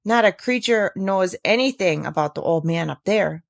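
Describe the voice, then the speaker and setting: A woman playing a nosy, exclamatory village gossip, lively pitch swings. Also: normal speed, vocal fry, no echo, studio quality. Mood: gossipy. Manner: exclamatory, emphatic, gossipy questioning. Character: talkative, nosy village woman, alarmed and inquisitive. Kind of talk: storybook character reading.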